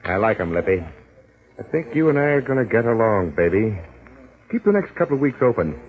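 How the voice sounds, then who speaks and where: Low-voiced, swaggering big-shot racketeer. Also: male voice, sing-song, fairly quick. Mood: cocky, flirtatious. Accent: American. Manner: slangy, cocky, flirtatious. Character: big, flashy, amorous big-spending racketeer. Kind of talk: 1940s radio drama acting. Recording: muffled 1940s radio recording.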